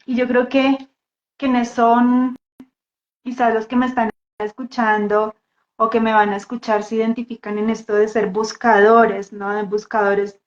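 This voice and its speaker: A woman with a Bogotá Colombian accent introduces herself casually and reflectively, halting and repeating words as she goes. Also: a little high, little pitch movement. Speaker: guest dance therapist. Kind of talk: casual personal introduction in a live talk. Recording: echoey room, dull muffled audio.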